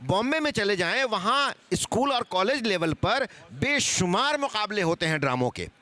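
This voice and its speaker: Bright, high-pitched man explaining on a panel. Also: big pitch swings, normal speed. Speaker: seminar panelist. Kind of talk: explanatory panel talk, listing examples. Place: seminar stage at a live event.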